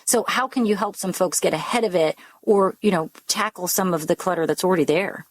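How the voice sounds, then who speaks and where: A woman's voice: lowish voice, a little fast, no echo. A woman co-hosting a home improvement radio show, chatty and upbeat as she interviews guests and reads promos.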